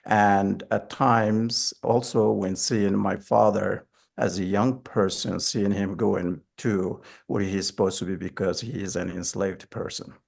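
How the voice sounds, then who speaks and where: A man in his forties answers interview questions with an earnest, storytelling manner. His voice sits low and carries a gravelly edge throughout. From Morocco. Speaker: school board candidate.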